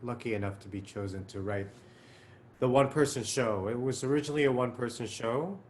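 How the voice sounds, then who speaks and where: Casual man, playwright on a video call. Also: mid-range voice. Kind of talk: casual talk-back answer.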